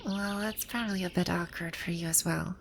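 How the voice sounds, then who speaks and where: A woman playing a proud, teasing video game villainess come to life, speaking softly in an intimate ASMR roleplay. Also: lowish voice, steady tone, fairly quick, noisy background. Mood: shy, vulnerable. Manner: smug, teasing, soft-spoken with breathy asides. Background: birdsong and outdoor ambience sound effects.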